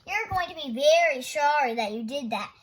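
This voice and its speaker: A woman voicing a hot-tempered male monster in a storybook, with loud, indignant exclamations. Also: high-pitched, lively intonation, normal speed. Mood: furious.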